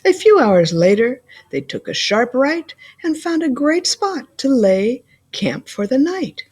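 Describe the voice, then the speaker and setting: A woman reading a children's picture book aloud as a school librarian, with deliberate, playful emphasis. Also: high-pitched, big pitch swings, a little mellow, slightly rough.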